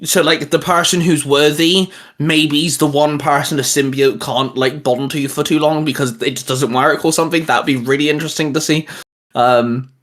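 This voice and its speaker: Rambling man, lively filler-heavy chat. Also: on the high side, normal speed. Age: young adult. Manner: rambling, many fillers and restarts. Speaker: channel co-host. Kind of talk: casual geeky chat.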